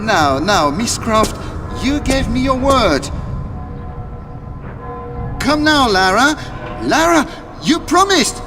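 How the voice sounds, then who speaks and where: A theatrical, wheedling treasure-hunting rival from a video game. He pleads desperately in a very high voice that swings widely, slowly drawing out his words over clear background music. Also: sing-song, long reverb tail, full character voice. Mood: pleading. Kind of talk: video game cut-scene acting.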